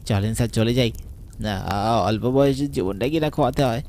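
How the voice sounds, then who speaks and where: A man's voice: mid-range voice, moderate pace, darkish tone, a little hiss or hum, lightly acted. A man playing a timid young police assistant, hesitant and stammering, in a horror cartoon. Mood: frightened.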